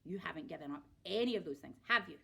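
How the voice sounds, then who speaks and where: A woman's voice: fairly low pitch, animated, fast, gravelly, sharp tone, breathy edge, voice pushed down, heavily acted. A woman voicing a selfish, grumpy, tiny old grandmother who snaps and scolds bossily in a storybook reading. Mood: scolding. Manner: snapping, bossy, scolding.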